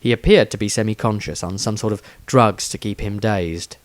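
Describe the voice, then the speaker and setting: A man's voice: on the low side, normal speed, no echo. Male fantasy storyteller, dry sound. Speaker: author narrating his own story. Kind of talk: dramatic scripted fantasy audiobook narration.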